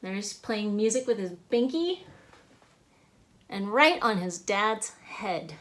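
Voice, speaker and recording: A woman reading a rhyming children's picture book aloud in an expressive way, punching out the sound words. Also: mid-range voice, takes it slowly. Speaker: author and illustrator reading own book.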